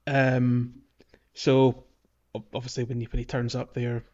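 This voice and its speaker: A man chatting on a gaming podcast in a loose, rambling way, often stopping to restart his thoughts. His pitch sits mid-range for a man, and the recording is slightly muffled and lo-fi. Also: monotone, a little slow, mellow. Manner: meandering, many fillers and restarts, laughs often. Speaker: podcast host. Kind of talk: casual gaming podcast chat.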